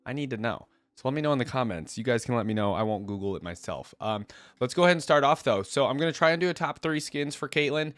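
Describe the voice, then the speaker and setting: A man's voice: medium pitch, expressive, quick pace, a bit bright, dry recording. A man with a stuffy, congested voice from a cold, giving casual, rambling reaction commentary as a video host, thinking aloud as he goes. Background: game theme music.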